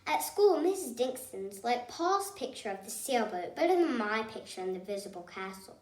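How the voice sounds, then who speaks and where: A woman reading a children's picture book aloud as a storyteller, expressively and in short, measured phrases. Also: a little high, very animated, a little mellow. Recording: roomy echo, distant mic.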